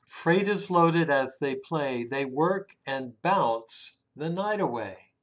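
A man reads a children's picture book aloud expressively, drawing out words and hushing the ends of phrases. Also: fairly high pitch, expressive. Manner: dramatic, with drawn-out words and hushed phrase endings. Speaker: children's story reader. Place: small room indoors at home.

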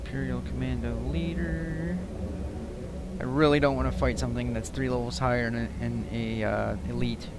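A man's voice: medium pitch, steady tone, normal speed, very noisy. A man giving casual live gameplay commentary in short, informal bursts, as if thinking aloud. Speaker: gaming video commentator. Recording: voice over loud game music. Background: loud game music and effects.

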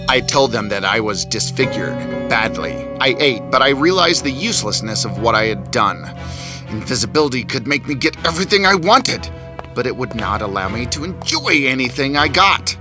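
A man's voice: medium pitch, expressive, normal speed, over music, close to an everyday voice. A man playing an arrogant invisible scientist in a cartoon, telling his own story in a theatrical, boastful, scornful way. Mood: driven, frustrated. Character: arrogant invisible male scientist, gloating and restless. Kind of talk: dramatic first-person storytelling in a cartoon.

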